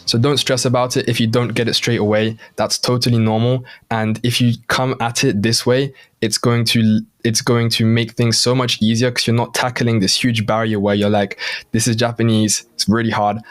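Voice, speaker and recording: A young man who is a native English speaker, hosting a language-learning video with casual, encouraging and reassuring explanations. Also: mid-range voice. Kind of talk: casual explanatory talk to camera.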